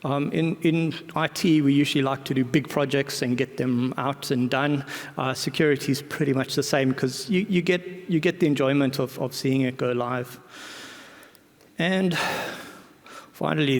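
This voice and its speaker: A man speaking informally as a conference presenter, colloquial and self-deprecating, often repeating words and backtracking as he goes. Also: medium pitch. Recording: reverberant hall recording.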